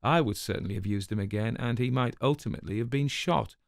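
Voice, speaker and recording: A man's voice: medium pitch, quick pace, gravelly, no room sound, studio quality, natural voice. A man voicing a callous, haughty spymaster with formal, precise, disdainful phrasing in a dramatic story reading. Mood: cool, dismissive. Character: callous, haughty male spymaster, coolly dismissive.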